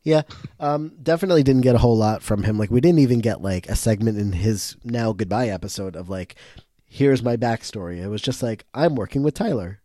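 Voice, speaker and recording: A man co-hosting a podcast in casual recap chat, sounding stuffy and tired, talking in a relaxed way that often trails off quietly. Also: fairly low pitch, fairly quick, no room sound, studio quality. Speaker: podcast co-host.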